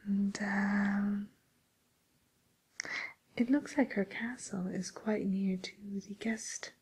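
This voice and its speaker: A woman playing a genteel, businesslike matchmaker for villains in a whispered ASMR role play, murmuring politely and hesitantly in a breathy voice. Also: medium pitch, fairly even intonation, slow pace, darkish tone, exaggerated voice. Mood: musing, helpful.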